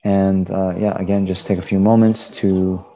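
A man with a low, soft-edged, relaxed voice teaches a guided meditation. He gives gentle instructions off the cuff, in halting phrases, as a Buddhist teacher would to students. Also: slight background noise.

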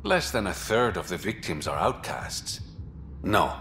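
Game smuggler, male: very low, bright voice, roguish and confident, faint ambient noise behind. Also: big pitch swings, unhurried, heavily acted. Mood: serious, intent. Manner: smooth, sly, teasing, confident. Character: suave, roguish adult male smuggler and informant. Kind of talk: video game dialogue acting.

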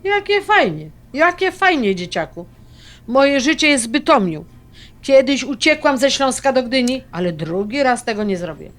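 Woman playing elderly grandma, slightly high voice, stubborn, grumbling, folksy, audio drama. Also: big pitch swings, moderate pace, fairly bright tone. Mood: indignant. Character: stubborn elderly Silesian grandmother, possessive of her son.

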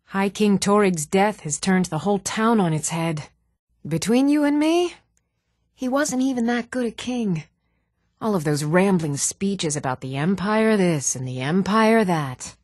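An actress playing an adult townswoman in a fantasy role-playing game, speaking in an even, measured, clearly enunciated way. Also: fairly low pitch, dry recording, studio quality. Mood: gossipy. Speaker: video game voice actor. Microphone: close, dry studio microphone.

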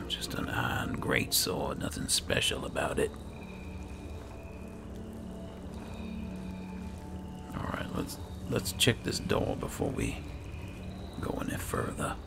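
A man playing an alchemist adventurer and patient mentor in improvised video game roleplay, with a gravelly, breathy low voice and an unhurried, musing manner. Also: sing-song, loud music behind the voice, exaggerated voice. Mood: watchful, curious. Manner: unhurried, musing, hesitant asides. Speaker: gaming roleplayer. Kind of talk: improvised in-character roleplay narration over gameplay.

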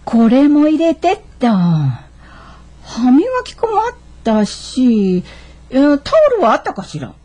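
A woman's voice: high voice, very animated, slow, warm tone, heavily acted. A woman playing a fussy, nostalgic mother of a grown son in a radio drama. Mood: fussing, nostalgic. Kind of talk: naturalistic radio drama acting.

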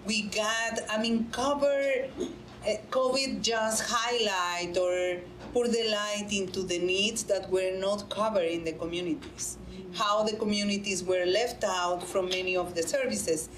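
A woman's voice: mid-range voice, steady tone, measured pace. A woman speaking as a panelist in a conference room, earnest and emphatic, repeating phrases to stress a point. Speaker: panelist, nonprofit director. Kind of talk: panel discussion answer. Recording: roomy conference room with faint hum.

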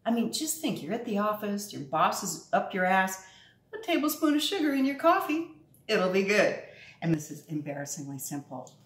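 A woman's voice: medium pitch, sing-song, moderate pace. A woman in her fifties hosting a casual cooking demo in her home kitchen, talking upbeat and straight to viewers. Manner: chatty, upbeat, addresses viewers directly. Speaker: cooking show host. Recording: echoey kitchen, faint noise.

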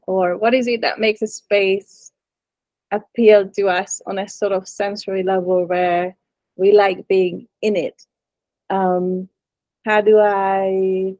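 Lady on a laptop call from home, dry sound, lots of ums, thinking out loud. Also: mid-range voice, dry recording. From Hungary. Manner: thoughtful, hesitant, many fillers. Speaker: prospective student. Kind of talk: casual introduction on a video call. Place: living room at home. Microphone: video call laptop mic.

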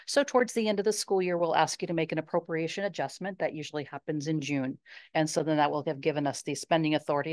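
A woman explains district funds to a board in a measured, explanatory manner. Her voice is quite low. The sound is dry. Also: no room sound. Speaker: district finance officer. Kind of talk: budget report.

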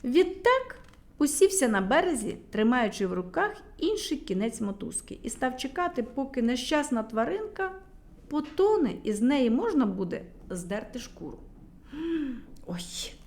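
Lively Ukrainian woman telling a fairy tale. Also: sits high, normal speed. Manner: expressive, lively storytelling. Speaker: storyteller. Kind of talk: children's fairy tale narration.

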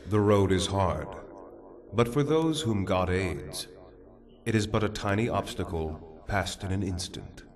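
An actor playing a solemn man who explains the judgment of souls in slow, measured words. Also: deep voice. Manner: grave, slow, measured pronouncements. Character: solemn man guiding a soul through judgment. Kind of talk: dubbed drama acting.

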